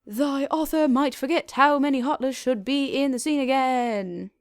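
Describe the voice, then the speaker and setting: A woman's voice: high voice, normal speed, no room sound, pitched above the performer's own voice, close to an everyday voice. An imperious pony princess of a fantasy storybook, performed by a woman. She speaks with stately formality and commands like royalty. The sound is close and dry.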